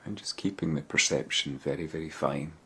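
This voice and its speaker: A man with an extremely low, slightly husky voice guides a meditation. He speaks in a gentle, measured way, leaving pauses between short phrases. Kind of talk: guided body scan meditation.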